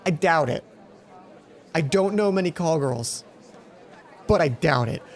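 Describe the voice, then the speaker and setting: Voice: medium pitch, animated, a little slow, some chatter behind. A let's play host reading a visual novel aloud and breaking off to add comments of their own. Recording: music under the voice. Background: game music.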